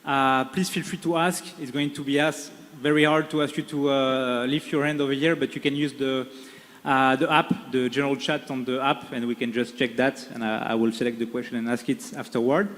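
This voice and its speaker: A man from France, moderating a conference panel in an upbeat, halting way with many fillers. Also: mid-range voice, steady tone, a bit bright. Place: conference stage in a large hall. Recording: long hall reverb.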